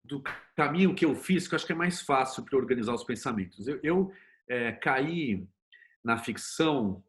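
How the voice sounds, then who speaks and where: A man's voice: mid-range voice, lively intonation, normal speed. A Brazilian man speaking as an interview guest, explaining things informally and thinking aloud in a conversational way. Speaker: interview guest, screenwriter and series producer.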